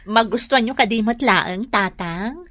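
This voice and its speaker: A woman playing an insecure young wife eager to please in a comedy radio drama, gentle and plaintive. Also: fairly high pitch, expressive, measured pace, heavily acted. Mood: hopeful.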